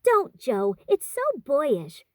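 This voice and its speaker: A woman's voice: high voice, wildly swooping pitch, slow pace, no echo, studio quality, voice pushed up, exaggerated voice. A woman voicing a fussy, proper young girl in a storybook, pert and full of wounded dignity. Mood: reproving. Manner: pert, indignant, affectedly prim.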